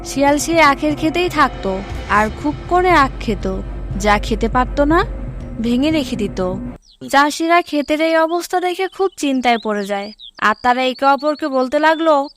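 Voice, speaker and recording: High-pitched woman storyteller over music. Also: lively intonation, normal speed. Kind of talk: scripted children's fable narration.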